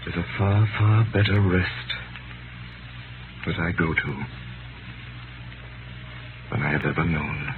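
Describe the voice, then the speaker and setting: An actor playing a calm, tender man resigned to death, in solemn, measured 1930s radio drama style on a scratchy old recording. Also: low-pitched, phone-quality sound. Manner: solemn, measured, theatrical delivery.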